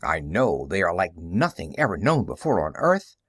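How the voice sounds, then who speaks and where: A man's voice: low-pitched, very animated, normal speed, a bit bright, breathy edge, no room sound, clean studio sound, voice pushed down, full character voice. A man voicing a young male newspaperman in an audiobook, earnest, skeptical and matter-of-fact, explaining with emphasis. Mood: earnest, grave.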